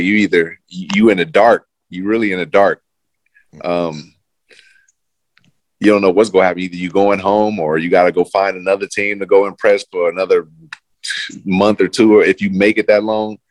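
A man with a very low voice talks about his football days in a loose, easygoing way. He leans on fillers as he goes. The recording is dry and close. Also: no echo, rough recording. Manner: laid-back, loose, many fillers. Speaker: former pro football player, guest. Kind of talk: casual interview chat.

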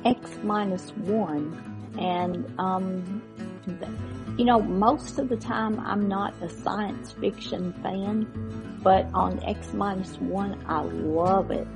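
A woman chats informally as a podcast host. She takes her time, hesitating as she goes, with a gravelly fry in her voice. Music plays clearly behind her. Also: medium pitch, fairly even intonation, takes it slowly. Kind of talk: casual podcast chat.

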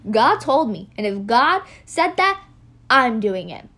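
Woman as a halting, devout, resigned father. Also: on the high side, sing-song, a bit bright, exaggerated voice. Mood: resolute. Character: reluctant, devout old-time father obeying God.

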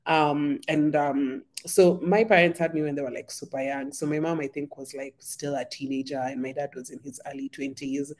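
A woman, a podcast guest telling her life story in a relaxed, rambling way, with a slight sore throat. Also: deep voice, normal speed, few pauses. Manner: chatty, many "like" fillers, laughs often. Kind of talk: casual conversation.

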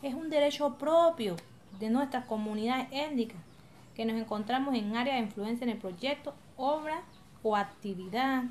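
A woman's voice: fairly high pitch, moderate pace, darkish tone, a little hiss or hum, close to an everyday voice. A woman with a Colombian accent acting an encouraging, patient village leader in an educational radio drama, explaining things colloquially. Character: encouraging, patient woman leading her coastal village community.

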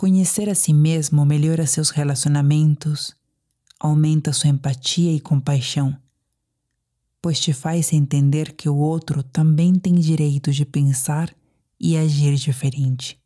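Low, mellow-voiced Brazilian woman guiding meditation. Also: fairly even intonation. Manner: soothing, deliberate phrasing with pauses. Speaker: meditation guide. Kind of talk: guided sleep meditation.

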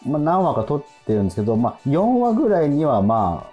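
A man, hosting radio with casual, animated storytelling. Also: on the high side, a little fast, husky, highs cut off. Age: 40s. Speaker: radio show host. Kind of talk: casual radio chat with anecdotes. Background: music.

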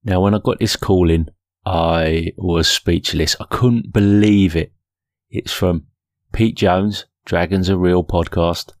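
A man's voice: low voice, fairly even intonation, slow pace, no echo, studio quality. A man hosting a podcast, talking casually and informally in a self-deprecating way. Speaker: podcast host. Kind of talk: casual solo podcast talk.

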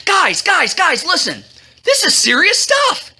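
Excitable king's man, played by a woman: slangy, emphatic, bright and very animated. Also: very high voice, slow pace, slight background noise, voice pushed up, heavily acted. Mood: urgent. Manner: emphatic, colloquial, egging on. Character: pushy, excitable male royal courtier, one of the guys.

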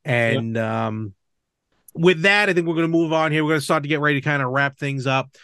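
Slightly higher-voiced man hosting a casual podcast. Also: a little fast, fairly bright tone, clear, resonant tone, no room sound. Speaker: podcast host. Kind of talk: casual chat about games and film.

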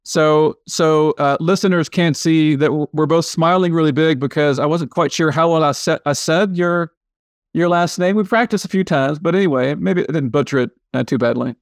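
A man's voice: on the high side, normal speed, no echo, clean studio sound. A man hosting a podcast interview, chatty and loose, often restarting phrases and checking with tag questions. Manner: casual, hesitant, many restarts and tag questions. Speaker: podcast host. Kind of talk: casual interview chat.

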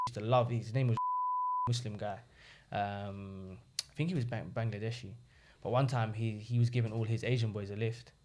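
A young man, a podcast guest telling stories in casual chat and acting out the people he quotes. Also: fairly low pitch. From England. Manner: animated storyteller, acts out quoted voices.

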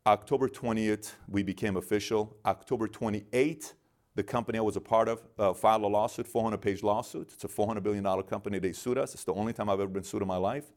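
A middle-aged man from Iran with a fairly low voice talks through his story as an interview guest. He acts out both sides of every conversation and keeps the tale moving with lively pacing. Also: quick pace. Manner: animated storyteller, acts out dialogue in quotes. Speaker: guest, business founder.

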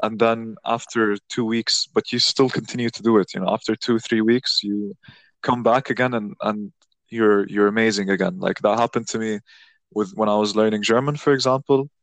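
A man with a lowish voice hosts a relaxed conversation, thinking aloud as he goes. He circles back on his words often and restarts his sentences. The recording sounds dry and close. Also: normal speed, no room sound. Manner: rambling, many restarts, reflective. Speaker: podcast host. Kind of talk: casual conversation.

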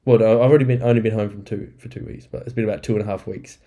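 A man hosts his podcast alone, talking loosely and cheerfully with the odd swear word. His fairly low voice sounds nasal and croaky from a bout of flu. He records on a laptop in an apartment. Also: steady tone, rapid-fire, mellow, lo-fi sound. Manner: rambling, upbeat, swears casually. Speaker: podcast host. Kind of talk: casual solo podcast catch-up. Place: apartment indoors. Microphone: laptop microphone.

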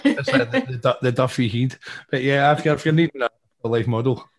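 A man's voice: medium pitch, brisk. Scottish guy cohost, jokes around, stumbles on words a bit. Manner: jokey, repeats words when starting. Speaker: interview co-host. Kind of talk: casual interview chat.

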